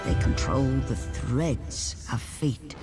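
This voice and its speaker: A woman's voice: very deep voice, takes it slowly. An actress playing a grave ancient female guide, narrating portentously in a video game. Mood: solemn, urgent. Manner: solemn, portentous. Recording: loud music under the voice.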